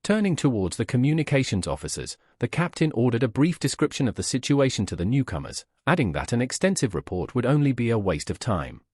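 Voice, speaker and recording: A man narrates a scripted audiobook story. His voice is husky and moves up and down in a lively way. The sound is dry and close, with no room echo. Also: mid-range voice, fast talker, few pauses.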